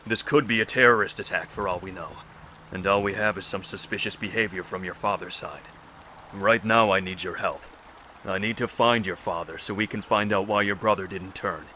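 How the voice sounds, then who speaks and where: A man's voice: sits low, pushed and bright, a little hiss or hum. A man playing a stern, impatient investigator in an audio drama, insistent and formal as he lays out his case. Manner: insistent, exasperated, formal explanatory phrasing. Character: stern, impatient male investigator with an injured wrist. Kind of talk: amateur audio drama acting.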